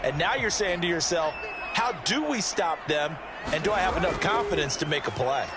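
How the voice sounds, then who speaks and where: A man's voice: fairly high pitch, animated, a little fast, a crowd in the background, noisy background, close to an everyday voice. A man playing an American color commentator in a football video game, explaining in an emphatic, conversational way like an enthusiastic coach. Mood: engaged, analytical.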